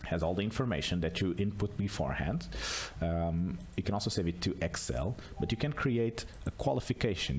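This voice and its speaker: Deep-voiced man giving a software tutorial. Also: breathy edge. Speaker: software demonstrator. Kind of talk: unscripted screencast tutorial narration.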